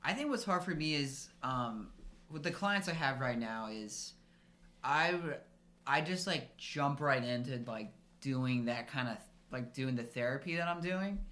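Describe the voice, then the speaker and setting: A man's voice: medium pitch, lively intonation, measured pace, sharp tone. A young man who stutters, a speech therapist, in casual podcast talk full of "like" and repeated words.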